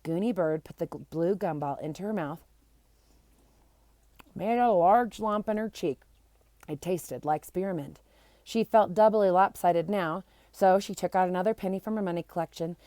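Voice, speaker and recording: A woman's voice: lowish voice, normal speed, mellow, no echo. Woman reading a children's story aloud, close dry sound. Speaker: amateur storybook reader. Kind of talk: expressive read-aloud of a children's book.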